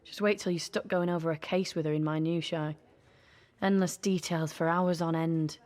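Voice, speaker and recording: A woman's voice: low-pitched, steady tone, normal speed, a little mellow, natural voice. An actress playing a seasoned British policewoman in an audio drama, dry and informal as she mentors a newcomer. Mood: wry, amused. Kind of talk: naturalistic audio drama acting.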